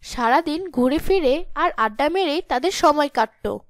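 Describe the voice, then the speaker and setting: High-pitched woman narrating a story. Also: animated, measured pace, no echo, clean studio sound. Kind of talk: scripted storytelling narration for a cartoon.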